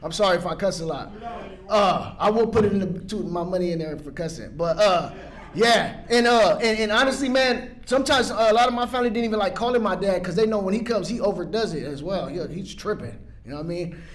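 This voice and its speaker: A man's voice: sits high, fairly quick. A young man from San Pedro, California, telling his recovery story at a meeting in a casual, rambling way with many restarts.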